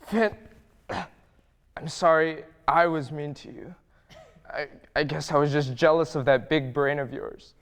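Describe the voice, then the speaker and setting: A man's voice: fairly high pitch, measured pace, a bit bright, clean tone. A man playing a brash young soldier in a stage musical, earnest and dramatic. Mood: remorseful, weary. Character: brash young soldier, jealous schoolmate turned remorseful. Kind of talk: theatrical acting.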